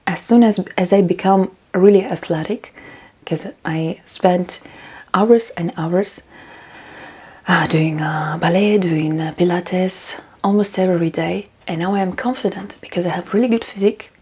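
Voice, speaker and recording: Woman, husky, relaxed tone, casual vlog advice, rambling, repetitive for emphasis. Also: on the low side, measured pace. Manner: rambling, repeats phrases for emphasis. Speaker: self-improvement vlogger. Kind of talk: casual talk, giving tips and reading out comments.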